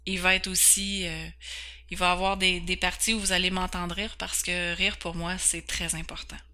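Bright-voiced Québécois woman host. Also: on the low side, flat delivery, normal speed. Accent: Québécois French. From Quebec, Canada. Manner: earnest, candid, occasionally stumbling over words. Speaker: podcast host. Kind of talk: personal introduction.

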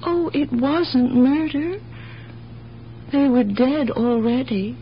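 Slow, plaintive older aunt. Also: female voice, high voice. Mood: resigned. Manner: slow, halting, plaintive delivery. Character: gentle, sorrowful older aunt, self-justifying and earnest. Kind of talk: radio drama acting. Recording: muffled, hissy old radio broadcast.

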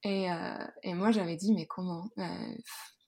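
A woman's voice: lowish voice, steady tone, unhurried, gravelly, mellow, husky. A woman from the Netherlands speaking French with a Dutch accent, telling her story as a podcast guest, hesitant with many fillers and restarts. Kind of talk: podcast interview, personal story.

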